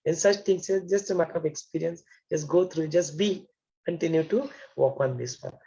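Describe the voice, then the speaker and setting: Gravelly man on dull lo-fi audio. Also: fairly high pitch, moderate pace, warm tone. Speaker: teacher leading an online study session. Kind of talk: spiritual lesson.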